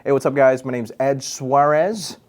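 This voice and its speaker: A man's voice: medium pitch, normal speed. A young man from Guam, a radio personality and MC, answering interview questions in a laid-back, goofy, chatty way. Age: young adult.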